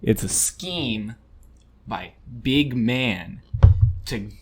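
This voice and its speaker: Slow, animated young man joking around. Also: husky. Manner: slow, jokey reactions with lively pitch. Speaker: podcast co-host. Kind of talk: casual chat.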